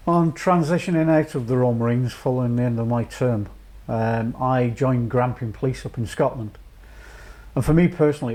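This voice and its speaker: A man from North Wales in his late fifties, a charity chief executive giving a measured, reflective personal account with many pauses. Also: medium pitch, darkish tone. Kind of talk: personal testimony to camera.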